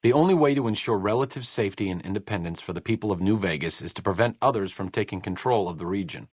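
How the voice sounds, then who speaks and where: A wry American doctor from a post-apocalyptic video game. His voice sits fairly low and swings expressively as he talks. He is dry, sardonic and articulate, an idealist with a sarcastic streak, recorded close and very dry. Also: lively intonation, fast, husky, no echo. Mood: earnest. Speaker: video game companion character. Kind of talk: video game voice acting, conversational dialogue.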